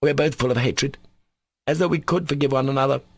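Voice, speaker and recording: A man's voice: mid-range voice, fast talker, fairly bright tone, husky. A man voicing a vindictive, bitter young woman in an audiobook, with a venomous edge. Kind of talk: audiobook character voice.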